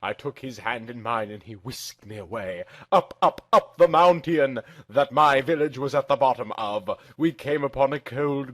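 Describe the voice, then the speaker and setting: A man's voice: medium pitch, dry recording. Theatrical man reading a story, dry sound. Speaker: narrator. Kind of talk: dramatic amateur story reading in first person.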